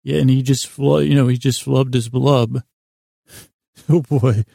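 A man hosting a bedtime podcast in a meandering, halting monologue, self-deprecating and silly, repeating and playing with words. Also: mid-range voice, steady tone, vocal fry, mellow, no echo, clean studio sound. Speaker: podcast host.

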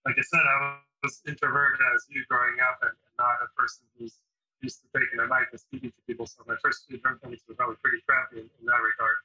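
A man's voice: mid-range voice, little pitch movement, fast talker, sharp tone, husky. A man chatting casually in an interview, telling long anecdotes with many fillers. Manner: chatty, storytelling, lots of fillers. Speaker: drummer, interview host. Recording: dull lo-fi sound.

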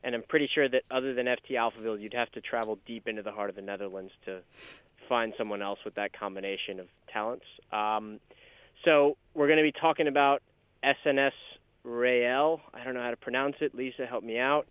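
A man's voice: mid-range voice, brisk, clean tone, no echo. A man hosting a podcast, upbeat and teasing as he introduces a colleague. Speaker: podcast host. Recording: tinny phone-like line.

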